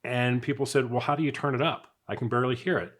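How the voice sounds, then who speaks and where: A man with a medium-pitched voice talks through a product review. He is casual and unscripted, chatting as he goes and thinking out loud in a conversational, everyday way. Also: fairly even intonation, fast. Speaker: product reviewer, channel host.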